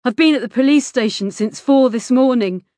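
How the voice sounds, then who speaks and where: A woman's voice: a little high, measured pace, no room sound, pitched above the performer's own voice, close to an everyday voice. A woman voicing a distraught, guilty teenage schoolboy in an audiobook story. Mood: upset, pleading.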